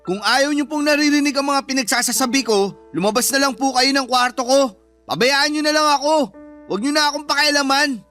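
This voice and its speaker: Bright-voiced bratty college son. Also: male voice, very high-pitched, brisk, soft background music. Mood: resentful. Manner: sarcastic, insolent back-talk. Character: spoiled, disrespectful college-age son talking back to his mother. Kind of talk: radio drama acting.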